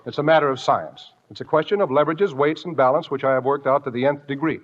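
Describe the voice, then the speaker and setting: A man's voice: fairly high pitch, fast, close to an everyday voice. A man playing a cocksure, bumbling American farmer husband in an old-time radio comedy, confidently lecturing and hemming. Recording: dull, echoey old radio recording with faint noise.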